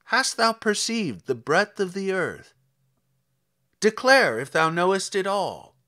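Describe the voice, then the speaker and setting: A man's voice: high-pitched, very animated, slow, sharp tone, heavily acted. A man reading scripture as a stern, commanding God, declaiming solemn rhetorical challenges in archaic English.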